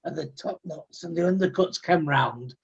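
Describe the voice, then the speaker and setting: A man from England chatting wryly on a casual panel and pitching his own project. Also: normal speed, creaky, muffled recording. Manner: wry, teasing, self-promoting. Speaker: panel host, comic artist.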